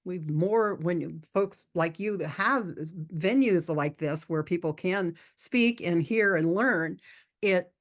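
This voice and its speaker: A woman's voice: lowish voice, slow pace. Older woman, former nurse, rambling earnestly. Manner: rambling, earnest, many restarts. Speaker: guest, retired nurse. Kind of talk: podcast interview.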